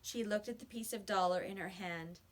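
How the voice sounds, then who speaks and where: A woman's voice: mid-range voice, moderate pace. A woman reading a children's story aloud like a teacher, with emphatic, dramatic storytelling.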